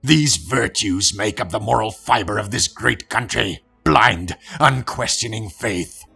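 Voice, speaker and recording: A man acting a low, gravelly religious fanatic from a comic book. He preaches in a pompous, deliberate way with a hard, forceful edge. Music plays under him. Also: exaggerated voice. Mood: fervent preaching. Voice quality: gravelly, raspy. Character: zealous self-righteous religious fanatic villain preaching judgement.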